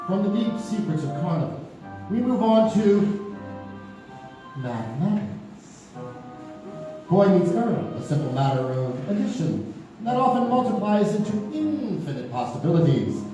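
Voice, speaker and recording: A man playing a mysterious anthology host, narrating theatrically and deliberately with dramatic pauses. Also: high voice, very animated. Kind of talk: dramatic scripted radio narration. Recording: echoey theater from far off, faint music.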